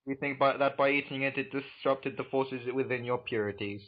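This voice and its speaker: A man acts out a solemn, hesitant schoolboy with stilted delivery. His pitch is middling. The sound is a dull, lo-fi amateur recording of a fan fiction reading. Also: steady tone, a little fast, lightly acted. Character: John and other hesitant, solemn teenage schoolboys.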